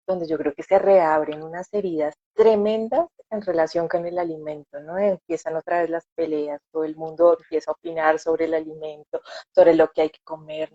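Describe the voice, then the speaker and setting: Low warm-voiced woman host. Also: no echo. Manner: reflective, repeats with "o sea" and "¿no?". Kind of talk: casual live-stream interview. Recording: dry dull lo-fi sound.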